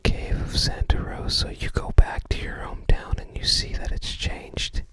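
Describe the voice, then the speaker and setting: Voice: normal speed, pushed and bright. An ASMR creator whispering in short, hesitant, rambling phrases, close to the microphone. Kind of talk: whispered ASMR book browsing.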